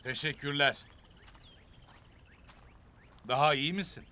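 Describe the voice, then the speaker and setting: A man voicing a steady, protective grown warrior in a children's cartoon, commanding and reassuring. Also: a little high, fairly quick, a little hiss or hum. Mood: encouraging. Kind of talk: animated children's adventure cartoon acting.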